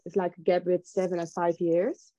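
A woman's voice: on the low side, flat delivery, moderate pace, warm tone, no echo. A young woman, chatting casually as an interview guest. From Netherlands. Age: young adult. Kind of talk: casual chat.

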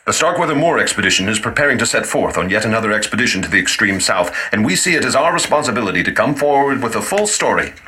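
A man's voice: lowish voice, very animated, sharp tone. A man doing a grave professor. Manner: earnest, measured, solemn. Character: grave older professor, expedition survivor. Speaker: guest professor.

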